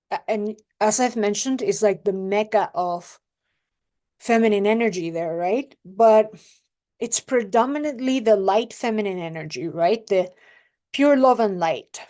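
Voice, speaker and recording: Slow-talking woman coach. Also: medium pitch, a little mellow. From Mexico. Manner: unhurried and reflective, often asks the listener "right?". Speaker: relationship coach. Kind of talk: casual advice monologue to camera.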